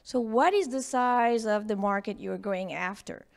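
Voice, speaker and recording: A woman's voice: medium pitch, unhurried, creaky. A woman leading a live workshop for students, urging them to share their ideas and asking them direct questions. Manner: coaxing, questions the audience directly. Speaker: workshop speaker. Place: lecture room with audience.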